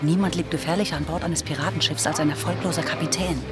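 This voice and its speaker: An actress playing a level-headed, frank female pirate with a measured manner in a German radio drama. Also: low voice, steady tone, over loud music, lightly acted. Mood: dry, cautioning.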